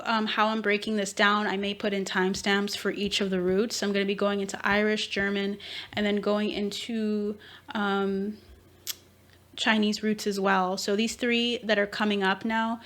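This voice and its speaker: A woman's voice: medium pitch, a bit bright. A woman giving an intuitive reading mixed with history research, thinking aloud, rambling, with fillers and self-corrections. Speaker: intuitive reader. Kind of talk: tarot-style intuitive reading and history talk.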